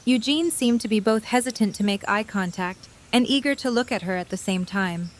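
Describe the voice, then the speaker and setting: A woman serves as narrator, reading a scripted story. Her voice is of middle pitch, delivering third-person prose for an audio drama. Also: moderate pace, slight background noise.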